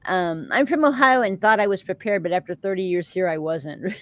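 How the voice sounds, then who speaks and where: A woman's voice: on the low side, fairly quick, a bit bright, no echo. A middle-aged woman with a Texan accent, hosting a casual chat with viewers in a rambling, chatty way and laughing often. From Texas. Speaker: host of a live chat show.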